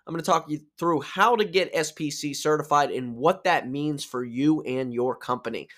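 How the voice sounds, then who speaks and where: A man's voice: on the high side, normal speed, fairly bright tone. Upbeat man hosting with a sore throat. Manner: upbeat, chatty, with restarts and self-corrections. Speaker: podcast host. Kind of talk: solo podcast explainer.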